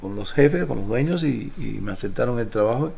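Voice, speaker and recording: A man's voice: mid-range voice, expressive, brisk, creaky, mellow, slight background noise. A man, telling a story for a documentary interview and quoting himself. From Cuba. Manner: storytelling, quotes himself, laughs. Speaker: restaurant worker.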